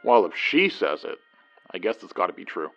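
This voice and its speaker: Reserved devoted man, subdued, mid-pitched, hesitant, dull recording, faint music, audio drama. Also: big pitch swings, moderate pace, gravelly, fairly bright tone, heavily acted. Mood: quiet, wry. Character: Donner, reserved, self-doubting, devoted man. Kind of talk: naturalistic audio drama acting.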